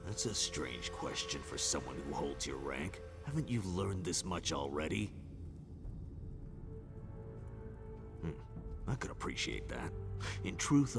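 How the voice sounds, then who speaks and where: A man playing a stern, devout orc elder in a fantasy video game, with a gravelly voice and a grave, measured, cryptic manner. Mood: patient, ominous. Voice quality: very deep, gravelly. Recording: background music under the voice.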